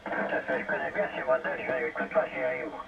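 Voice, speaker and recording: Very gravelly older man on CB radio. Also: sits low, very animated, quick pace. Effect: heard through a CB radio. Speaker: amateur CB radio operator. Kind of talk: casual chat over CB radio. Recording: dull CB radio signal, faint chatter.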